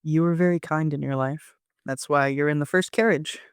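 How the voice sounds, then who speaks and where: A man narrating a first-person story like an amateur audiobook reader, with an even storytelling delivery. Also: on the high side, normal speed, clear, resonant tone, no echo, studio quality.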